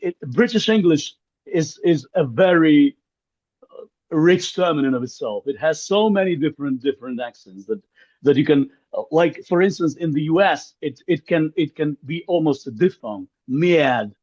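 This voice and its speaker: A man's voice: a little high, animated, moderate pace, darkish tone. A man whose first language is Ukrainian, joining a workshop discussion on a video call, hesitant and restarting his phrases often. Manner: hesitant, many restarts and repeated words. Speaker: workshop participant. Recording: muffled lo-fi call audio.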